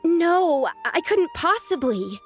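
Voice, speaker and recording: High-voiced, polite young lady. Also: very animated, slow, exaggerated voice. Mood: apologetic. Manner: courteous, earnest, meek. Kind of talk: video game character acting. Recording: music behind the voice.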